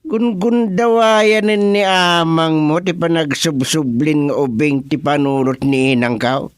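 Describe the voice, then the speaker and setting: A man's voice: fairly high pitch, unhurried, clear, resonant tone, no room sound, clean studio sound, natural voice. A man with an Ilocano accent playing a comic, grumbling village son in a radio drama, whiny and exclamatory. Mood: indignant.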